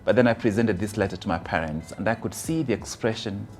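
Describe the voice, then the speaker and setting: Kenyan man speaking deliberately over music. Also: on the low side. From rural Kisii, Kenya. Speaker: motivational speaker. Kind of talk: motivational personal testimony.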